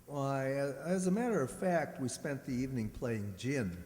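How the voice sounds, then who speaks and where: A man's voice: medium pitch, expressive, normal speed, darkish tone, lightly acted. A man acting in an amateur radio drama as a polite robot duplicate, formal, hesitant and servile. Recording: echoey hall.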